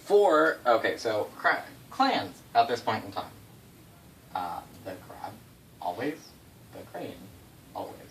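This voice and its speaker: A man tells fantasy history off the cuff, with big swings in pitch and frequent swearing. His delivery is casual and dramatic, picked up from a distance in a noisy room. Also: takes it slowly, pauses often. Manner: sweary, animated, dramatic emphasis. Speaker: storyteller recounting game lore to players.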